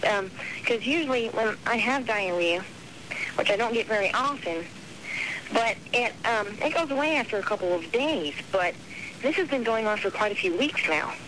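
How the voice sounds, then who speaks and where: A prankster plays a meek woman phoning a radio show, polite and hesitant. The voice is bright and sharp, heard over a slightly noisy telephone line. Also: medium pitch, animated, normal speed. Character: meek, polite woman caller with health complaints.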